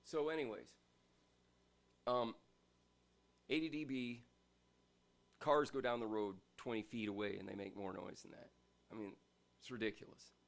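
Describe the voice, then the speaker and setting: A man with a big, booming voice talks through a guitar review at home in a loose, rambling rant. He punches key points loudly and then drops into quieter asides, at an ordinary pitch for a man. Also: fairly even intonation, normal speed, vocal fry, pauses often. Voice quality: big, loud voice. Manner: emphatic, rambling, swings between loud bursts and quiet asides. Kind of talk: casual ranting gear review.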